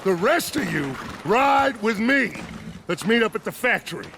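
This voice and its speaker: Gravelly, theatrical outlaw gang leader. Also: male voice, big pitch swings, sharp tone, exaggerated voice. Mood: commanding. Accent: American. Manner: theatrical, drawn-out, sardonic, commanding. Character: grandiose, theatrical outlaw gang leader, middle-aged man. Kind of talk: cinematic video game acting. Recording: game battle ambience and vehicle rumble.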